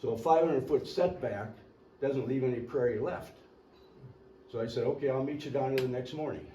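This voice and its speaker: An older man recounts local history in a rambling, folksy way, pausing to clear his throat. His tone is mellow and dark. He is picked up from a distance in an echoey meeting room with a faint hiss behind. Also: mid-range voice. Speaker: local resident speaking at a public meeting. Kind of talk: storytelling public comment.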